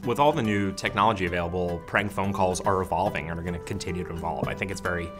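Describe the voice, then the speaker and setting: A man speaking as a mock-documentary interviewee, with a deadpan air of authority. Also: deep voice, quick pace, over music. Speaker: comedian talking head.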